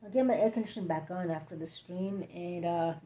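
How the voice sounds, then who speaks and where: A woman with a hoarse, scratchy throat, hosting a rambling late-night livestream chat from home, halting and drifting between topics. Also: on the low side, mellow. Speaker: livestream host.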